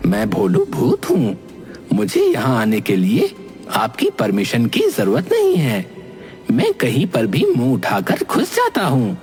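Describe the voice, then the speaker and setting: A man's voice: medium pitch, wildly swooping pitch, measured pace, a little mellow. A man voicing a friendly, indignant cartoon ghost with an earnest, dramatic, pleading way of talking. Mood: cheeky. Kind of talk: animated cartoon character acting. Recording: music bed under the voice.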